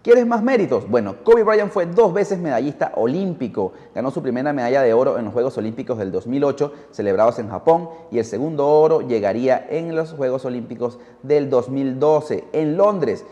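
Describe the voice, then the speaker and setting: A male podcast host tells a scripted story in a dark, mellow voice of medium pitch. His delivery is dramatic and speaks straight to the listener. A long reverb hangs on the recording. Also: animated, moderate pace, hardly any pauses, lo-fi sound. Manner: dramatic, addresses the listener with rhetorical questions.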